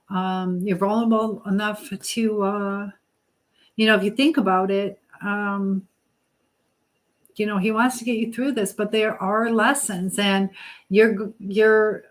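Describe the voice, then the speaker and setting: Female livestream host, middle-aged, mid-pitched voice, informal and motherly, speaking straight to her viewers. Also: poor audio. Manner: motherly, advising, addresses viewers directly. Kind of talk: casual live chat, answering viewers' comments.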